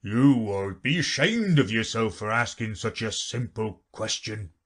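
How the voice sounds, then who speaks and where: A man voicing the Gryphon, a blunt, impatient storybook beast who speaks loudly and scolds. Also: on the low side, lively intonation, unhurried. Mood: scolding. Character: gruff brusque male Gryphon, impatient.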